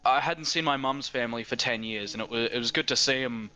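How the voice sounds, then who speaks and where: Bright-voiced Australian teen guy. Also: medium pitch, fast talker, clear, resonant tone, soft background music, rough recording. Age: teens. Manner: rambling, many fillers and restarts. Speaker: guest. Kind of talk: casual chat.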